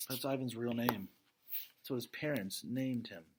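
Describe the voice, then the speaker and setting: A man doing a children's read-aloud, expressive, with casual asides and questions that check in with his listeners. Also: mid-range voice, normal speed, background noise.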